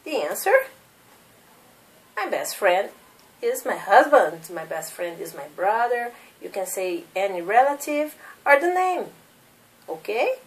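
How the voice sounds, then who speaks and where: A woman's voice: mid-range voice, very animated, measured pace, pauses often, distant mic, poor audio. A woman in her thirties from Brazil with a Brazilian Portuguese accent, teaching English as a second language and drilling simple questions for learners to repeat. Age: 30s. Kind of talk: slow classroom drilling of questions.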